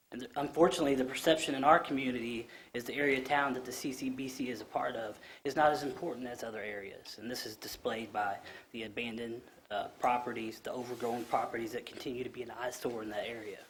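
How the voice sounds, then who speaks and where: Man, thirties, low voice, earnest, halting ums, podium microphone, council chamber, faint background hiss. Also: low-quality recording. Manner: earnest, plainspoken, frequent ums and restarts. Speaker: community organization speaker at public comment. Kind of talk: public comment speech at council meeting.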